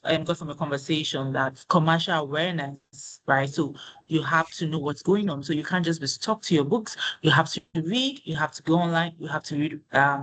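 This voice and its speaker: A man with a slightly higher-than-usual voice moderates a career webinar. He talks loosely and conversationally, tagging his points with "you know" and "right?" as he walks the audience through them. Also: a bit bright, low-quality recording. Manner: explains with frequent "you know" and "right?" tags.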